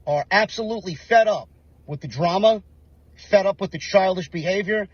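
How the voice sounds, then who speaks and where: A man's voice: sits high, normal speed, husky, dry recording, dull audio. A man with a sore voice, ranting indignantly on a live stream, lecturing with rhetorical questions. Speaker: online commentator.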